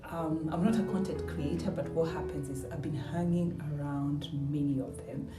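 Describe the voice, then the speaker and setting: A woman's voice: low voice, fairly even intonation, moderate pace. A woman of forty-seven, chatting reflectively as a guest in a house. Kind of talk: casual chat, reflective. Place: indoors in a house. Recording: roomy echo, distant mic, music behind. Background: background music.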